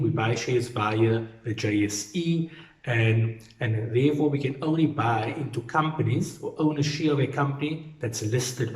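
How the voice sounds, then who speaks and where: A man's voice: mid-range voice, a little mellow. A man gives an explanatory lecture in a didactic way, repeating key points for emphasis. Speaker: accounting lecturer. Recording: roomy, echoing sound.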